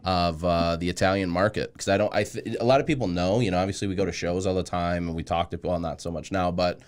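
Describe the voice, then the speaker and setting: Podcast host: man, low-pitched, resonant, informal and easygoing in conversation. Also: fairly even intonation, fast talker.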